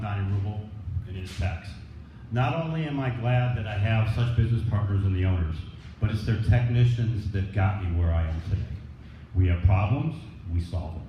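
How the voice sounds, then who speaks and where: Male business owner, informal thank-you speech, plainspoken; dull recording with clear background noise. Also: mid-range voice. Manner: plainspoken, colloquial. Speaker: business owner accepting an award. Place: echoey indoor room at a presentation event. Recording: echoey, dull, noisy room recording.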